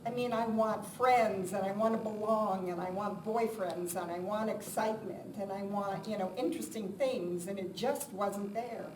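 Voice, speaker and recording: A woman's voice: mid-range voice, steady tone, moderate pace, few pauses. A woman giving an informal, conversational talk to a live audience, with a self-deprecating manner. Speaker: guest speaker. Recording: roomy, distant mic, loud background noise.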